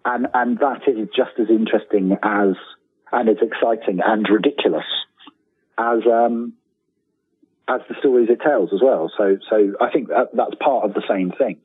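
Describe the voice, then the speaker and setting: Male interview guest, lowish husky voice, eager and halting storyteller, heard over a phone-like connection. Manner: hesitant, repeats phrase starts, enthusiastic. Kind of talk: casual interview, anecdotes. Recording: thin phone-like line with room echo.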